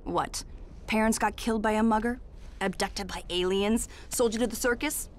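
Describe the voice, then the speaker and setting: A woman's voice: mid-range voice, normal speed, a little hiss or hum, natural voice. A woman voicing a flirty, teasing, carefree thrill-seeker in a video game, with a sultry and taunting manner. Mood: dismissive, coaxing.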